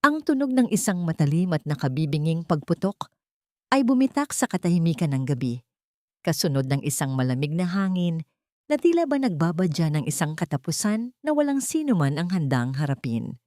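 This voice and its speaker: Low-voiced woman narrating dramatically. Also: very animated, fairly quick, darkish tone, no room sound, clean studio sound. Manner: solemn, suspenseful.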